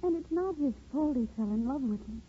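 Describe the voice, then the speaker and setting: An actress playing a soft-hearted, anxious young wife and mother in a 1950s radio drama. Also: sits high, lively intonation, a little fast. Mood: earnest. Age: young adult. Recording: muffled old radio with hiss.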